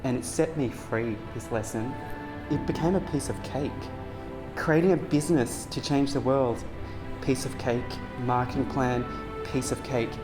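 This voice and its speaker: A young man from Cambodia, telling his story as a business founder in a soft, earnest documentary narration with frequent pauses. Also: medium pitch, over music. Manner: soft-spoken, earnest, pausing with frequent ums. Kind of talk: documentary testimony and reflective narration.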